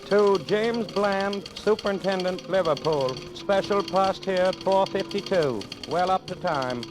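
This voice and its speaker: High-voiced station reporter, stiff clipped telegram delivery, 1940s radio, busy backing sound. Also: male voice, a little slow, over music. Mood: stiff, official. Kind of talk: 1940s radio drama acting.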